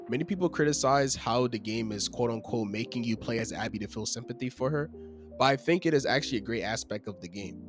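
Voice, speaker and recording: A young man with a slightly raspy voice from a cold, reading a scripted video game review in an earnest, opinionated way. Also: mid-range voice. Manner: earnest, opinionated, steady delivery. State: slightly raspy from being sick.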